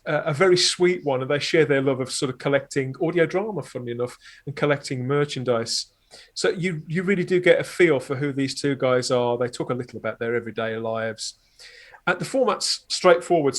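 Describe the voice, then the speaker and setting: A man's voice: mid-range voice, normal speed. A man talking casually as a podcast guest and reviewer, discursive and often rephrasing himself, with wry understatement.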